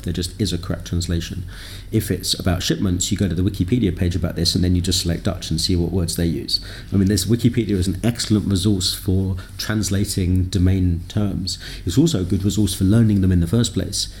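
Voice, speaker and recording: A man, a native English speaker, being interviewed as a software developer, talking thoughtfully with lots of ums and self-deprecating asides. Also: low-pitched, vocal fry. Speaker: podcast guest, software developer. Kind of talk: conversational interview.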